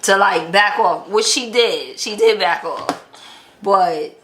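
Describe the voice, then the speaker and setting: A woman tells a casual story at an unhurried pace. Her voice is bright and forward, and she acts out the dialogue she quotes. The sound is echoey, as in an untreated room. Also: mid-range voice, a little hiss or hum. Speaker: vlog host telling relationship stories.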